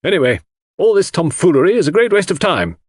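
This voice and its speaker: A blunt older woman of firm opinions, as a male narrator puts her on. The pitch is lifted high and swings widely, with curt, dismissive phrasing. It is audiobook dialogue, recorded dry. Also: very animated, quick pace, dry recording. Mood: scornful. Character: blunt, scornful, stubborn older woman, loyal friend.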